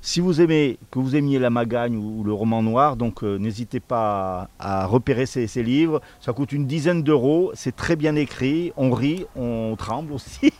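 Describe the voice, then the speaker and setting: A man hosting a conversational radio interview, speaking haltingly and repeating words as he forms his sentences. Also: mid-range voice, a little mellow. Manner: halting, repeats words while forming questions.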